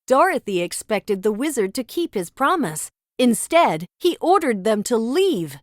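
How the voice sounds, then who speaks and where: A woman's voice: fairly high pitch, sing-song, a little slow, no echo, studio quality. A woman narrating a children's storybook as a scripted read-aloud.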